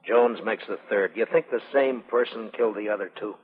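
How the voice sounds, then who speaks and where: An American man playing a stern, world-weary frontier marshal in a 1950s radio western, speaking tersely and gravely. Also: lowish voice, brisk. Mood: terse, businesslike. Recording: muffled, echoey vintage radio broadcast.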